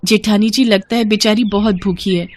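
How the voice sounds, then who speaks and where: A woman's voice: medium pitch, steady tone, normal speed, darkish tone, a little hiss or hum, lightly acted. Woman as a humble, kind village wife. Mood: meek, pleading. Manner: meek, earnest. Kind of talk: moral storybook character voice.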